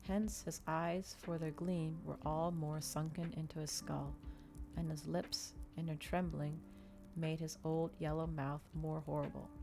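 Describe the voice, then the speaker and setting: A woman doing a soft, unhurried ASMR reading of a novel, with small stumbles and restarts. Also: sits low, little pitch movement, gravelly, music in the background. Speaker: streamer reading a novel aloud. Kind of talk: ASMR audiobook-style reading.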